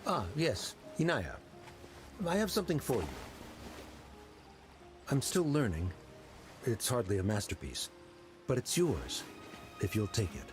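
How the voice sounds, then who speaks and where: An actor playing a proud, reserved middle-aged scholar and awkward father in a video game, speaking formally and with measured words. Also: mid-range voice, sing-song, over music. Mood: awkward, hesitant. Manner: formal, measured, stiffly reticent.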